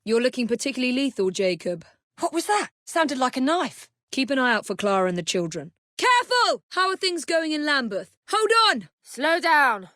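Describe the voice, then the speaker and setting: Game role: young female English assassin and gang leader of the Victorian era. High, expressive, crisp, wry, in command; dry studio sound. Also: normal speed, no room sound, full character voice. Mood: composed, wry, then alert, brisk. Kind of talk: video game voice lines.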